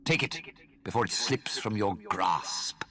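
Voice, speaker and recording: A sinister spirit of cursed armour from a fantasy game. The voice is very low and slow, with phrases that swoop and linger. It is formal, suave and theatrical, full of courtly menace. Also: male voice, lively intonation, sharp tone. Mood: commanding, menacing. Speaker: video game character in a fantasy mod.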